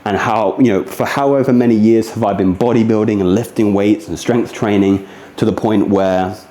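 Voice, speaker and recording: A man's voice: lowish voice, steady tone, moderate pace, mellow, slight background noise. A man talking casually and earnestly while demonstrating rehab exercises, in a confiding, conversational fitness vlog manner. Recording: echoey, roomy sound.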